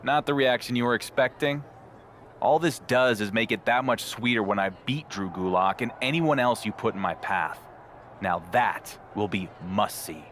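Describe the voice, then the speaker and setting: A man's voice: fairly low pitch, normal speed, a bit bright, slight background noise, close to an everyday voice. A man voicing a cocky, unflappable pro wrestling champion in a video game cutscene, with dry, sarcastic delivery. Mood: defiant.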